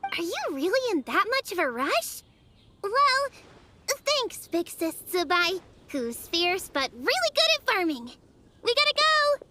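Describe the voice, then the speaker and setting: A woman voicing a tiny, excitable, childlike girl in a video game, naive and cheeky. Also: unhurried, slight background noise, exaggerated voice. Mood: bright, amazed. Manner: squeaky, childish, hesitant then blurting. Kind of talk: cartoonish game voice acting.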